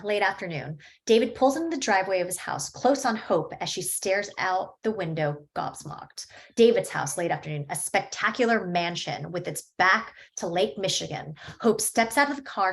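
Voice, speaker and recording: A woman reading stage directions at a screenplay table read in a steady, matter-of-fact way. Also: lowish voice, normal speed, creaky, few pauses.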